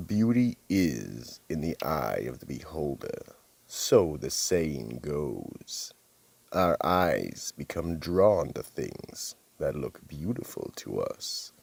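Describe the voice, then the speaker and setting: A man playing a sinister keeper of a vault, narrating radio mystery theater in an ominous, theatrical, gravelly voice. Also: very deep voice, sing-song, takes it slowly, darkish tone, full character voice. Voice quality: gravelly, husky. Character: sinister slow male horror host, keeper of a vault. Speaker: host and narrator.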